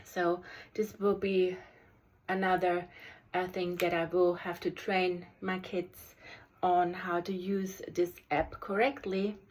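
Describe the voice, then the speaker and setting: German-accented woman teacher reviewing an app, lots of ums and restarts, lowish voice. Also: flat delivery, low-quality recording. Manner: halting, many ums and restarts. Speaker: special education teacher.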